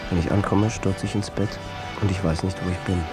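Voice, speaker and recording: A man's voice: low-pitched, fairly even intonation, fairly quick, natural voice. An actor playing an exhausted, stressed businessman on the verge of snapping, introspective and pressured, in a German radio drama. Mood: numb, disoriented. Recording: loud music behind the voice.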